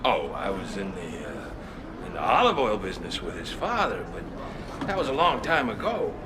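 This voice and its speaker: An actor playing an aging gangster testifying evasively at a hearing, gruff and ungrammatical. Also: male voice, on the low side, big pitch swings, normal speed, background noise. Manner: gruff, evasive, rough grammar. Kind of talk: video game cutscene acting.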